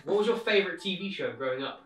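A man's voice: high-pitched, lively intonation, fast talker, soft background music. A man asking short questions as an interviewer, recorded with a roomy, off-mic sound. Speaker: off-camera interviewer.

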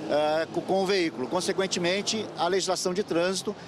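A middle-aged man, interviewed at the roadside on a handheld mic, speaking formally and haltingly with restarts. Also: sits high, steady tone. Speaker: tax official. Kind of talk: street interview. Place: roadside outdoors. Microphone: handheld reporter mic. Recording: street noise, passing cars. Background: traffic.

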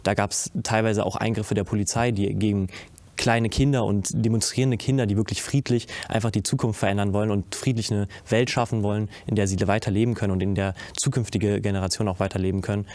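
Hesitant young man, low flat voice. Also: fast talker, dry recording. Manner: intense, many hesitations and restarts. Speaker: youth panelist. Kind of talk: panel discussion. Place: indoor studio set with curtains.

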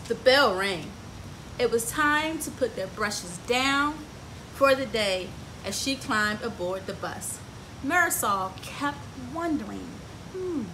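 A woman's voice: on the high side, lively intonation, takes it slowly, bright, forceful tone, rough recording. A middle-aged woman hosting a children's story time, reading a picture book aloud expressively and asking the young listeners questions. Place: outdoors on a porch by trees. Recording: clear outdoor ambient noise.

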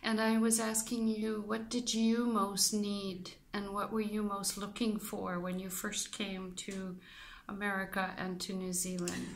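A woman interviewer asks her questions slowly and gently. Her pitch stays level and flat throughout. She prompts softly and lets the guest take his time. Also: a little hiss or hum.

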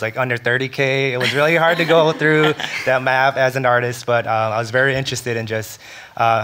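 A man in his thirties speaking on a stage panel into a table microphone, relaxed and heavy on fillers. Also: lowish voice. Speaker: panelist artist. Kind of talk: panel talk. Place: stage at an indoor arts venue.

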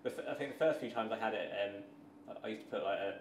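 A man, a dairy worker, casually explaining his work in an unhurried, modest, informal way. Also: fairly low pitch. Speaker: dairy skyr maker. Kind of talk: casual explanation while working. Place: small dairy production room, indoors. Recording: echoey room with clear machinery noise.

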